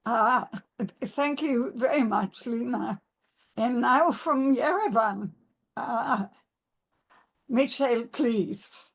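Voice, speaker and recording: Woman moderator, unhurried and slightly high, halting with fillers. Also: gravelly. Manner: slow, halting, many fillers. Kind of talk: moderating a webinar panel. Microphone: video call.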